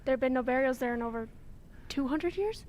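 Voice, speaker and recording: A woman's voice: high-pitched, animated, brisk, darkish tone, a little hiss or hum. A woman playing an easily alarmed, indignant villager with earnest, theatrical old-time radio acting. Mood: uneasy, curious.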